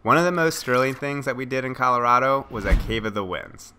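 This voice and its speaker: A man's voice: mid-range voice, expressive, brisk, pushed and bright. A young man narrating a casual travel vlog in an upbeat way, with music playing behind him. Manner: upbeat, breaks into goofy made-up singing. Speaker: travel vlogger. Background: background music.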